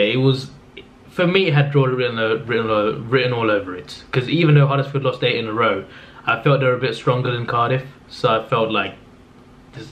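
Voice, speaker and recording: A man with a fading voice, rambling through a casual football recap in a subdued tone, with lots of restarts. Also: medium pitch. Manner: subdued, flat-sounding delivery; rambling, with frequent restarts and fillers. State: losing his voice. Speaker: football vlogger. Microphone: camera mic. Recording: slightly dull lo-fi audio with faint noise.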